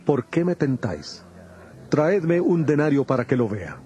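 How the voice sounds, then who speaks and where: An actor playing a solemn, firm male teacher with authority, speaking in a measured, declamatory way in a dramatized scripture recording. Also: on the high side, big pitch swings, mellow. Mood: firm, admonishing.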